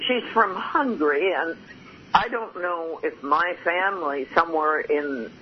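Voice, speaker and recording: Hesitant male host on a muffled line. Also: fairly high pitch. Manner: hesitant, with many fillers and restarts. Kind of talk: interview on a radio show. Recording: muffled lo-fi line, faint hiss.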